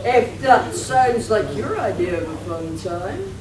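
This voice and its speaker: A woman's voice: medium pitch, sing-song, slow. A woman narrating a live radio play as compere, in a theatrical, declamatory manner. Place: convention hall before an audience. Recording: echoey, noisy live hall, distant mic.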